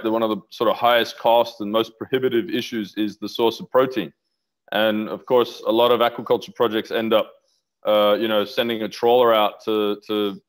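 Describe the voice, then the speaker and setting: A man's voice: fairly low pitch, rough recording. A middle-aged man talking enthusiastically over a video call from a home office, rambling with restarts about his research. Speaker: interview guest, aquaculture researcher. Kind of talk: casual video-call interview. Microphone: headset on video call.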